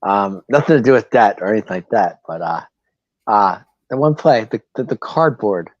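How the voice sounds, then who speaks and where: A man's voice: fairly low pitch, animated, normal speed, no room sound. A man co-hosting casual sports chat, easygoing and amused, with hesitant phrasing. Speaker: sports show co-host. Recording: dull lo-fi microphone sound.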